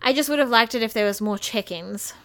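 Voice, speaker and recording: A woman with a fairly high voice co-hosts a podcast. She chats casually about films and states her opinions with emphasis. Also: fairly quick, fairly bright tone. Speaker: podcast co-host. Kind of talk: casual film review chat.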